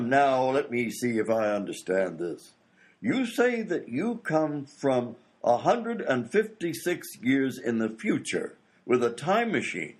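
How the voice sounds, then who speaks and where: A man playing a pompous, wisecracking and grumpy American president from history in a comedy radio play, with an oratorical manner. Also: medium pitch.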